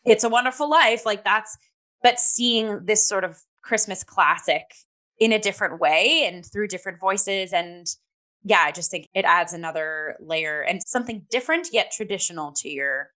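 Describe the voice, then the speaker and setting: A young adult woman hosting a casual review chat in an enthusiastic, expressive and emphatic way. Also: mid-range voice, moderate pace. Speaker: host and theatre reviewer.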